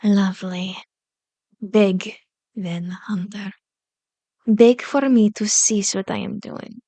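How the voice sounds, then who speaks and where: A woman's voice: mid-range voice, fairly even intonation, mellow, dry recording. A woman playing a powerful, manipulative female vampire in an ASMR roleplay, seductive and condescending, slipping into whispers as she commands and coaxes. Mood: taunting, commanding. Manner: slow, commanding, mock-sweet taunting with whispered words.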